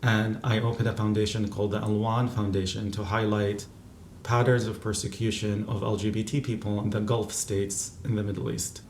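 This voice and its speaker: A man in his thirties from Qatar speaks in a lowish voice, pausing often and restarting his sentences. The sound is roomy, with faint ambience behind him. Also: level tone, a little hiss or hum. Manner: thoughtful, halting, many fillers and restarts. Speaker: interview guest, physician and activist. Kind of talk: reflective interview answers.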